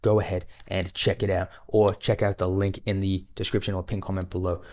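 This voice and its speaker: A man teaching an exam-prep lesson in a quick, chatty, encouraging way, calling his viewers guys, with a voice that is wearing out. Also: low-pitched, little pitch movement, a little mellow. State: losing his voice. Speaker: online course instructor.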